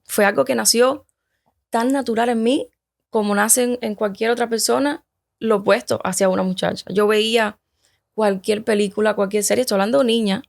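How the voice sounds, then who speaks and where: Gravelly-voiced woman from Cuba. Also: a little high, steady tone. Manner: hesitant, halting, with many restarts. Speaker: guest. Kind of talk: personal testimony in casual conversation.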